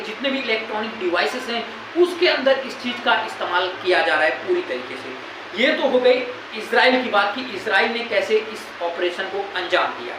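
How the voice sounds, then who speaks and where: A woman with an Indian accent presenting an informal current-affairs explainer in an explanatory, repetitive way. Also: mid-range voice. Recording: very echoey room, loud background noise.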